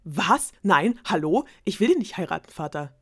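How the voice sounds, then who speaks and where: A woman voicing an arrogant young princess who mocks her suitors in a shrill, snappy way. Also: animated, a little slow. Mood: outraged.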